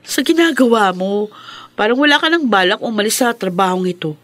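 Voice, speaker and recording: A woman's voice: mid-range voice, animated, a little fast, fairly bright tone, dry recording, natural voice. A woman playing a concerned, inquisitive friend in a Filipino radio drama, speaking expressively. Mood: probing.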